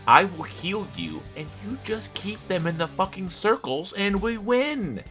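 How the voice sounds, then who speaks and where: A man's voice: sits high, sing-song, unhurried, a bit bright, faint music behind. A man co-hosting a podcast in casual chat, with an emphatic, sweary manner. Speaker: podcast co-host.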